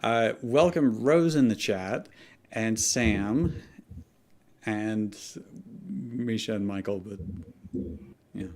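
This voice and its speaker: A man's voice: on the low side, very slow, pauses often, a little mellow. A man hosting a casual comedy reading show, introducing and bantering with a group. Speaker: host of a comedy fan fiction reading show.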